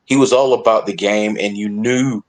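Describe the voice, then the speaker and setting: Low-pitched, halting male sports panelist. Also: steady tone. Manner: halting, repeats and reworks phrases, emphatic. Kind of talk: casual sports discussion.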